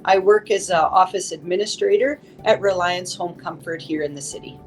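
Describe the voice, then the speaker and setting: A woman in her forties telling her story in an interview, steady and with many fillers. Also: on the low side, moderate pace, slight background noise. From Saskatchewan, Canada. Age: 40s. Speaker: office administrator interviewee. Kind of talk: interview recollection.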